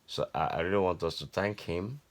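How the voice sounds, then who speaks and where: A man's voice: deep voice, brisk. An older man with a Nigerian accent giving informal Bible teaching to family in a repetitive, exhorting way. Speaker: grandfather teaching a Bible story.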